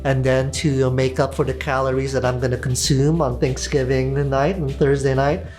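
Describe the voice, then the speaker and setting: A man in his thirties hosting a casual vlog, chatty and quick to laugh. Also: mid-range voice. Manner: laughing, animated storyteller. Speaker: vlog host. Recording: music underneath.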